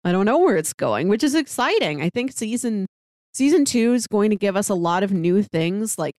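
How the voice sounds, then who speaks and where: A woman's voice: mid-range voice, very animated, normal speed, darkish tone, no echo, clean studio sound. A woman co-hosting a casual TV review chat, thinking aloud and restarting her phrases as she goes. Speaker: podcast co-host.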